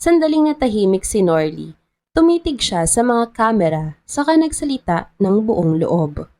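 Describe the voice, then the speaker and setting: A woman's voice: medium pitch, sing-song, normal speed, a little mellow. A woman with a Filipino accent reading a scripted dramatic story as its narrator.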